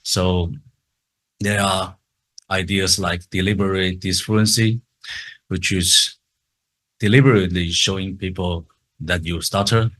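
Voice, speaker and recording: A man with a very low voice, speaking slowly and carefully in English. His is Chinese, and he stutters, repeating words and the starts of sounds. He is giving a talk at a conference. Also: a bit bright, poor audio. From Taiwan. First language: Mandarin Chinese.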